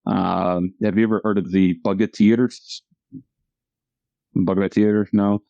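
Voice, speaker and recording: A man explaining things casually as an interview guest, halting and hesitant, on slightly dull audio. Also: fairly low pitch, level tone, creaky, dry recording. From United States. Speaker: comic creator, interview guest.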